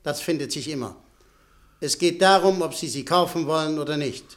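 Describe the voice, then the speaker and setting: An actor playing a cool, scheming press magnate. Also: male voice, fairly high pitch, normal speed, close to an everyday voice. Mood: calm. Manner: smooth, calculating, coolly polite. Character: scheming middle-aged male press magnate. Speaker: businessman Buscan. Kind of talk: 1950s German radio play acting.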